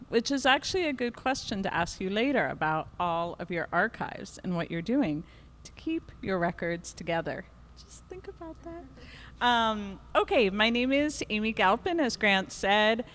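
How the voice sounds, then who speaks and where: Loud-voiced woman moderating in a reverberant gallery. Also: medium pitch, sing-song, moderate pace. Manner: loud voice, with many ums and uhs. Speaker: panel moderator. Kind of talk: introducing a panel discussion. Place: art gallery. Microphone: handheld microphone.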